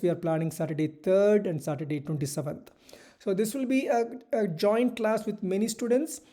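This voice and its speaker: A doctor tutoring students for medical school entrance exams, explaining a course in a measured online presentation with hesitant restarts. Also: mid-range voice, mellow.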